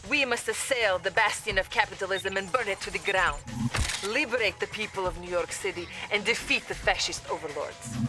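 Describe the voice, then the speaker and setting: Fields. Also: female voice, medium pitch, fairly quick, over music, lightly acted. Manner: urgent, formal briefing. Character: loyal, earnest female Soviet officer. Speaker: Soviet military officer.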